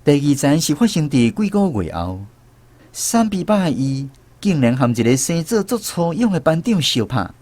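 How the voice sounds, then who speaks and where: A man's voice: fairly high pitch, very animated, moderate pace, a little mellow. A man with a Taiwanese accent playing a wry storyteller who recalls army days in radio drama narration, with music behind. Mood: storytelling.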